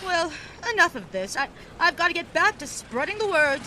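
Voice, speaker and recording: An actress playing a spirited young high-society woman of the 1920s in an audio drama, effusive and theatrical with a wistful streak. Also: big pitch swings, sharp tone, a little hiss or hum, heavily acted. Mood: teasing, brisk. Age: young adult. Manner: effusive, theatrical, halting when emotional. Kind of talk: period audio drama acting.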